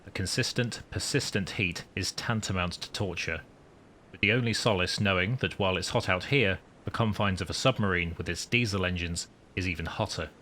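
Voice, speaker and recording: A man's voice: low-pitched, a little fast, sharp tone, slight background noise, pitched below the performer's own voice. A man voicing a wry, newly promoted wartime submarine commander, narrating his story in formal, dry understatement. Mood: wry, measured. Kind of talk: scripted first-person story narration.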